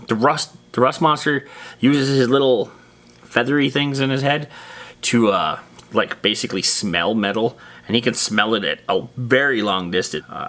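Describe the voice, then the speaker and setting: Give this a man with a fairly low, bright, forward voice, hosting an unscripted tabletop gaming explainer. He talks casually and slangily, rambling along and leaning on dramatic emphasis for his viewers. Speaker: host of a tabletop roleplaying monster lore series.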